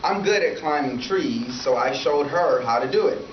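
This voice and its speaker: A man's voice: medium pitch, animated, moderate pace, close to an everyday voice. A man voicing a lonely, timid, polite young boy with simple childlike narration in a storybook read-aloud. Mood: quietly excited. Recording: echoey room from far, noisy, dull.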